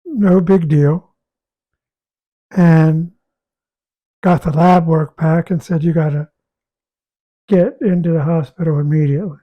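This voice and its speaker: A man with a raspy, hoarse voice talking casually about his health, halting and repeating words as he goes. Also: a little high, steady tone, dull audio. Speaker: guest sharing a health update. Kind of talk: casual conversation.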